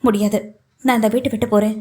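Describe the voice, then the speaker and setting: A woman's voice: on the high side, steady tone, fast, a little mellow, lightly acted. A woman reading an audiobook, voicing a grieving young widow who answers firmly and curtly. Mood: defiant.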